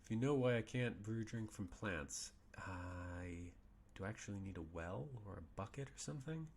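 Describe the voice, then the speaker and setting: Low, gravelly man thinking aloud. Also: moderate pace, darkish tone. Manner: thinking aloud, puzzled muttering to himself. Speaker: game streamer. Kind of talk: casual gameplay commentary. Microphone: desk mic with webcam.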